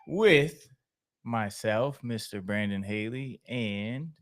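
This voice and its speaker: A young man hosting a casual podcast, telling stories in a relaxed way with plenty of laughs and fillers. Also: fairly low pitch, slow. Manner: laid-back, storytelling, frequent laughs and fillers. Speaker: podcast host.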